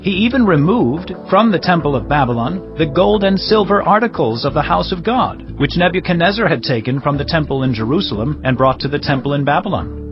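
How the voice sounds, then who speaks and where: Man acting as a respectful provincial official, higher-pitched, formal, earnest, audio drama, slightly dull sound. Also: expressive, fairly quick. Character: dutiful provincial governor reporting respectfully to his king. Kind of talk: dramatized scripture reading. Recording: dull lo-fi audio under clear music. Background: music.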